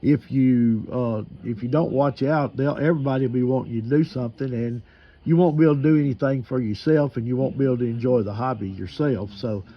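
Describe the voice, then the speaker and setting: Male ham radio operator, medium pitch, folksy rambling chat, dull lo-fi radio sound. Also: brisk. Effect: radio transmission. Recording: dull, limited-band amateur radio audio.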